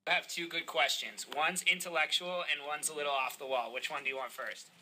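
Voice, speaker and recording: A man's voice: a little high, steady tone, a little fast, slight background noise, rough recording. A man putting on a Massachusetts accent to play a brash, overly chummy prank caller who calls himself D-Spin. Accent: put-on Massachusetts. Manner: cocky, overly chummy, gets loud and indignant. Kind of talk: prank phone call. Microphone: recorded from a phone on speaker.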